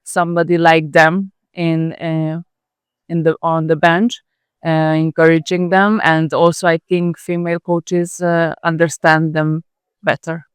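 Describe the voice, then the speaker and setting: A Serbian woman, a national coach, answering interview questions slowly with many pauses and fillers. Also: low-pitched, flat delivery, smooth, no echo, clean studio sound.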